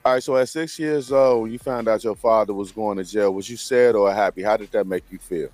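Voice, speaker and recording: Male podcast host, lowish voice, measured probing questions, desk mic in a home studio. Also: a little mellow, faint music behind. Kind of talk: interview questions.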